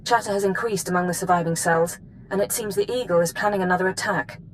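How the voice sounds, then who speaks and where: A woman's voice: fairly low pitch, fast talker, slight background noise, natural voice, rough recording. A woman playing a professional intelligence analyst in a video game, briefing in a precise, matter-of-fact, formal manner. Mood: businesslike.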